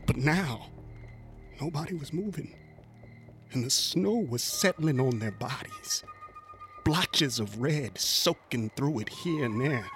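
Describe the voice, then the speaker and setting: An actor playing a haunted, rough-spoken US Cavalry veteran, telling his story in a folksy, halting way in a radio drama. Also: mid-range voice, heavily acted. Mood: haunted, grieving. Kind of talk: dramatic first-person monologue, radio drama.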